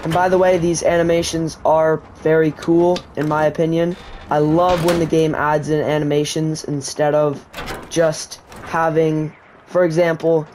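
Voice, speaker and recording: A man with a fairly high voice narrates a gameplay tutorial over the game footage. He explains each step plainly for his viewers, with a faint hiss of noise under his voice. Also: darkish tone. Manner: explanatory, walks viewers through steps. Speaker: gaming YouTuber.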